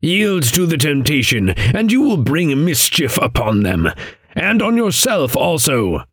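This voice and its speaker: A man voicing a stern old raven mentor in an audiobook, croaking and solemn, with archaic, admonishing speech. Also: fairly high pitch, very animated, a little slow, dry recording, clean studio sound, voice pushed up, full character voice. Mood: grave, admonishing. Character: stern old raven guide, grave male riddling mentor. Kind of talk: audiobook character voice.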